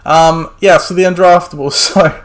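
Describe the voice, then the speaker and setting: A man's voice: sits high, steady tone, moderate pace. Man hosting a casual sports podcast. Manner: chatty, rambling with many fillers. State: losing his voice. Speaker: podcast host.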